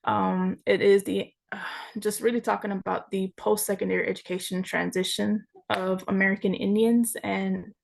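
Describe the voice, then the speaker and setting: A young woman from Mississippi begins her presentation over a video call. Her voice has a gravelly, fry-edged texture, and her delivery is measured, with small pauses as she finds her words. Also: medium pitch, flat delivery. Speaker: graduate student presenter. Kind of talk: conference presentation.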